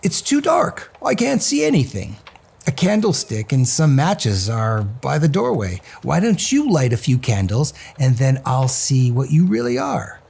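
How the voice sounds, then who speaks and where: A man's voice: mid-range voice, wildly swooping pitch, measured pace, vocal fry, darkish tone, husky, heavily acted. A man voicing a brave, clever storybook hero who defies a goblin with taunting, unafraid nonchalance. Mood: feigned nonchalance.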